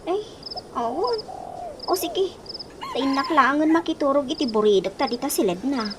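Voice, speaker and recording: A woman's voice: high voice, expressive, normal speed. A woman with an Ilocano accent acting an emotional young wife in a radio drama. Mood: resigned. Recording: noisy radio drama recording.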